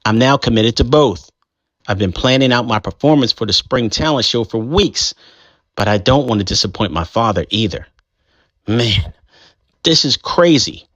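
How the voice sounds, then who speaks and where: A man reading a first-person chapter book aloud in a conversational, expressive way. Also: medium pitch, normal speed, no room sound, muffled recording. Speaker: storybook reader.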